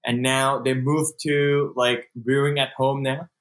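Thai man speaking halting, casual English. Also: mid-range voice, little pitch movement, a bit bright, rough recording. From Thailand. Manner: halting non-native English with frequent "like" and restarts, laughs often. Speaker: guest entrepreneur. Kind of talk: casual interview.

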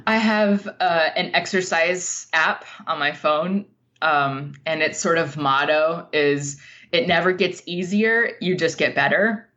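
A woman being interviewed as a writer, speaking in a thoughtful, halting, reflective way. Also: low voice, a bit bright, dull audio.

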